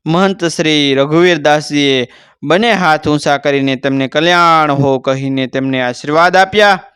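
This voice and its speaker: High, clear-voiced Gujarati male storyteller. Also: normal speed, a bit bright, no room sound, clean studio sound. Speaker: devotional storyteller. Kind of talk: narrated moral folk tale, oral storytelling.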